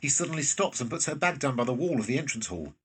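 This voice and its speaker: A man with a very husky voice reading scripted present-tense narration for a drama, recorded away from the microphone. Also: medium pitch, sing-song, fast, a bit bright, recorded from a distance.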